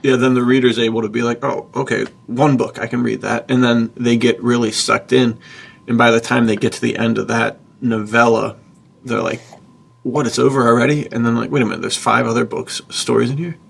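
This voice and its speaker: A man's voice: medium pitch, steady tone, fairly quick, vocal fry, slightly rough. A man co-hosting a podcast, talking casually with an enthusiastic, slangy, buddy-like manner. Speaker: podcast co-host and writer.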